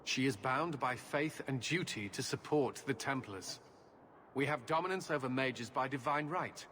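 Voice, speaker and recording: An actor playing a grave, conflicted knight officer of a holy order, speaking formally in a fantasy game. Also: male voice, mid-range voice, moderate pace, a bit bright, close to an everyday voice. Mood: solemn, troubled. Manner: formal, solemn. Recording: faint background noise.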